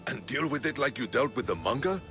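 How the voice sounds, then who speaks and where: An actor playing a level-headed, principled Spartan military man in a video game, measured and reasoning. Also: medium pitch, big pitch swings, natural voice. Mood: grave, reasoning. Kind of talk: video game dramatic acting. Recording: music under the dialogue.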